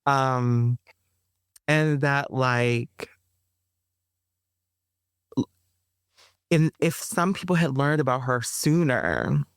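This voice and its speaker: A woman being interviewed as a playwright, talking in a chatty, animated way, with frequent fillers and bits of laughter. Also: rumbling low, extremely slow, long pauses, smooth, no echo, clean studio sound. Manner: animated, chatty, addresses listeners as y'all. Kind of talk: casual interview conversation.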